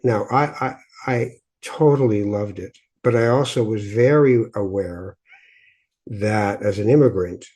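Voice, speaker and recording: A man's voice: on the low side, dark tone, breathy edge. A middle-aged man born in Morocco, hosting a podcast and telling reflective stories with frequent restarts. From Morocco (Tangier). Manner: reflective storyteller, many restarts. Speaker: podcast host. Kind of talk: casual conversation.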